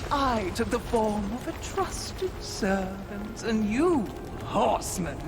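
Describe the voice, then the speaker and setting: A gloating demoness from a video game. She schemes and taunts theatrically, swinging widely in pitch and taking her time. Loud music plays behind her. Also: expressive, takes it slowly. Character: gloating female demonic sin of envy, cunning schemer. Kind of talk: video game cutscene acting.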